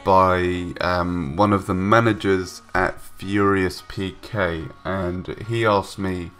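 A man's voice: low voice, little pitch movement, slow, fairly bright tone, faint music behind. A young man with a stuffy nose from a cold, giving casual, rambling voice-over commentary with many ums and restarts. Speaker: gaming YouTuber. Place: home room, recorded separately from gameplay.

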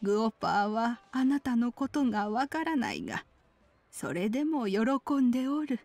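A woman voicing a kindly old village granny in a Japanese video game, with gentle, reflective, old-fashioned speech. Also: on the high side, very animated, moderate pace, no room sound, faint music behind. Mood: friendly. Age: older.

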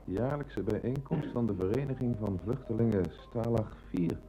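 A man playing a calm, polite, observant police detective in a Dutch radio play. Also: mid-range voice, moderate pace, close to an everyday voice, low-quality recording. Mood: politely probing.

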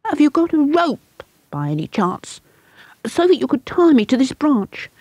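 A woman's voice: high voice, big pitch swings, unhurried, darkish tone, dry recording, pitched above the performer's own voice, rough recording. A woman doing a scared little boy, pleading. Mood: frightened pleading. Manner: pleading, panicky, close to tears. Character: frightened young boy stuck up a tree.

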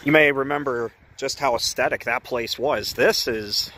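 A man vlogging casually as he walks around an empty building outdoors, chatty and quick to get indignant, with a phone camera's sound. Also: mid-range voice, sharp tone. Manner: chatty, ranting, easily indignant. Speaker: urban explorer vlogger. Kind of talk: casual walk-around narration.

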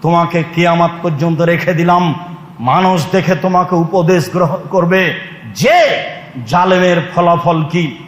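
A man's voice: a little high, unhurried. A Bangladeshi man preaching an Islamic sermon in an emphatic, declamatory way to a congregation. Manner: emphatic, declamatory, with Arabic recitation. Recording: echoey hall with long reverb.

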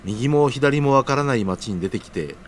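A man's voice: medium pitch, animated, normal speed, slight background noise, natural voice. A man acting an earnest, humble young junior worker in an audio drama, speaking casually but deferentially. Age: young adult.

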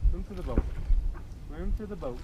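A man's voice: on the high side, lively intonation, moderate pace. A man in his thirties, a fishing vlogger, chatting casually and playfully from a small boat on open water, counting his catches. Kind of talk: casual chat. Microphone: action camera on the boat. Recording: wind and water noise outdoors.

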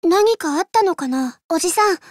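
A gentle young Japanese heroine from a video game. Her voice is very high and girlish, with a soft, polite way of speaking in short reactive lines. The recording is close and dry. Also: expressive, moderate pace, dry recording. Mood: curious, cautious.

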